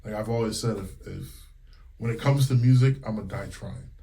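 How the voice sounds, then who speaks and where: A man's voice: fairly low pitch, normal speed, gravelly, slight background noise. A man in his thirties from Connecticut, a singer and music teacher, talking candidly in a casual interview with many fillers. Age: 30s. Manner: relaxed and candid, many fillers and quoted retellings.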